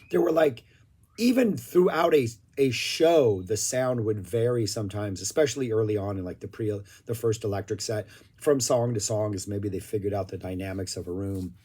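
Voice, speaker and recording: Casual male reviewer, mid pitch, opinionated and talky, voice a little worn. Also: darkish tone, breathy edge. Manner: chatty, opinionated, loose with filler words. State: throat a little rough, clearing it now and then. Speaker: music review vlogger. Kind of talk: casual spoken album review.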